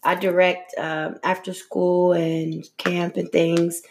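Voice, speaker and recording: A woman's voice: lowish voice, little pitch movement, takes it slowly, darkish tone, slight background noise. A woman in her twenties co-hosting a casual podcast chat, laughing often and swearing now and then. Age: 20s. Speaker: podcast co-host.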